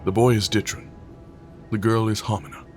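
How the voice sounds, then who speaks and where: A man's voice: lowish voice, fairly even intonation, moderate pace, close to an everyday voice. An actor playing a proud, guarded male paladin leader who speaks tersely. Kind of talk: audio drama acting. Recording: music bed underneath.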